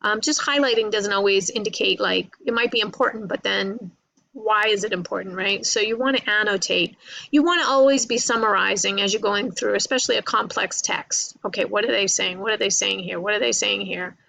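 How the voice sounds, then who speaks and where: Female college English lecturer, creaky voice, informal and explanatory, recorded close and dry. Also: medium pitch, fairly quick, sharp tone, no echo, poor audio. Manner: conversational, repeats points and checks with "right?" and "you know".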